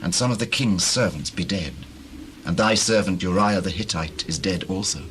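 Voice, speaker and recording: A man plays a subdued male messenger from the battlefield in dramatized scripture. His somewhat low voice reports soberly in old-fashioned wording, with faint ambient noise. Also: moderate pace, fairly bright tone. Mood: sombre.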